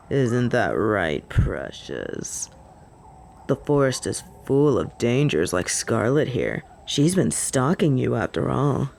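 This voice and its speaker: A woman's voice: rumbling low, vocal fry, a little mellow, a little hiss or hum, voice pushed down, heavily acted. A woman voicing a cocky, flirty female werewolf in a roleplay audio drama, possessive and teasing with a drawl.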